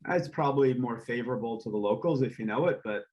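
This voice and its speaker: A man, a real estate developer, speaking as a guest in a casual interview, relaxed and rambling with frequent ums and uhs. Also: medium pitch, quick pace, muffled recording. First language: English.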